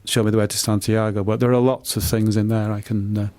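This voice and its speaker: A middle-aged man answering in a radio interview, halting and often repeating words as he explains. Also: fairly low pitch, level tone, darkish tone, no room sound. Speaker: author and poet being interviewed.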